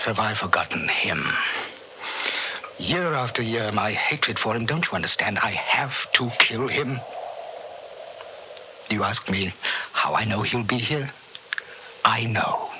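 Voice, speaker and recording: A haunted, vengeful English nobleman in a vintage radio play. He speaks very slowly and broodingly, with a hard, piercing edge to the voice. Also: medium pitch, sharp tone, low-quality recording. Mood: grimly certain. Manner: brooding, halting, intense. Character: haunted, vengeful titled Englishman bent on murder. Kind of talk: 1960s radio drama acting. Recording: old radio recording, faint noise.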